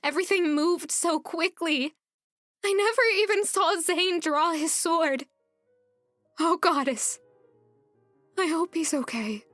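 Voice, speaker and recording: A woman's voice: extremely high pitch, takes it slowly, pauses often, a bit bright, no room sound, studio quality, soft background music, exaggerated voice. A woman in an audio drama voicing an anxious, tender young woman who narrates with worry. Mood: anxious, worried.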